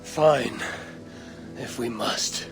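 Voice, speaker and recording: An actress playing a blunt, suspicious Viking warrior woman in a video game, with a husky, raspy voice. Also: rumbling low, drawn-out words, over music, exaggerated voice. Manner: blunt, challenging, distrustful. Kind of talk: video game cutscene acting.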